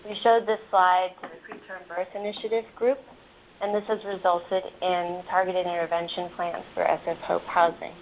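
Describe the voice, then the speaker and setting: A soft-spoken woman epidemiologist presenting slides in a measured, explanatory way, with frequent ums and restarts. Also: lowish voice, flat delivery. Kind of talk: slide presentation over a phone line. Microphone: phone on the table. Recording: muffled phone line, faint noise.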